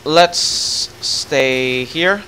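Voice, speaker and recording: A man hosting a casual co-op gaming session, calmly guiding his partner through the level. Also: a little high, extremely slow, background noise. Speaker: channel host playing co-op.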